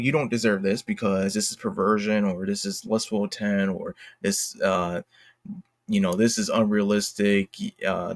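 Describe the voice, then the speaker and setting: A man with a fairly low voice hosts an online opinion video. He speaks off the cuff, often repeating and correcting phrases as he works through his point. The sound is very dry, with no room echo. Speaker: host of an online commentary video. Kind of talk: unscripted opinion commentary from notes.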